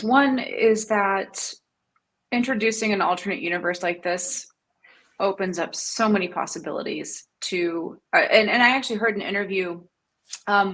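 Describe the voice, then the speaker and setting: A woman speaks as a fan panelist with noticeable vocal fry and a medium pitch. She explains her thoughts earnestly, in thoughtful conversational commentary. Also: fairly bright tone.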